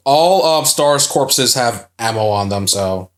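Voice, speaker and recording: A man's voice: mid-range voice, animated, slow pace, a little hiss or hum. A young man co-hosting a casual video game playthrough, rambling and swearing often, with lots of filler words. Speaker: co-host playing a video game.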